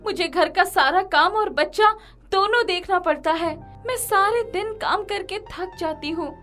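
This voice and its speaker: A woman's voice: very high-pitched, a little slow, bright, forceful tone, faint music behind, pitched above the performer's own voice, exaggerated voice. A woman voicing an irritable, anxious young working wife in a dramatized moral story, complaining and urgent. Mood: irritated.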